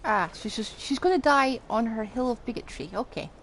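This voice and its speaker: A woman's voice: fairly high pitch, lively intonation, normal speed, background noise. A woman game streamer giving casual, offhand, wry commentary while playing. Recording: loud game music behind voice. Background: loud video game music.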